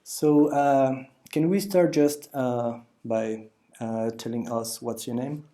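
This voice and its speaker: A man hosting an interview, asking questions haltingly with many fillers and restarts. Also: mid-range voice, fairly even intonation, mellow. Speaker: host and interviewer.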